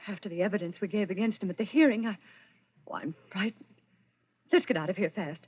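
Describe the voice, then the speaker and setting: A woman's voice: medium pitch, fast talker, husky, no room sound, close to an everyday voice. An actress playing a suspicious, fearful woman in a 1940s radio thriller, anxious and dramatic. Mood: frightened. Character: suspicious, fearful widow in a scheming household. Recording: dull, lo-fi vintage radio recording.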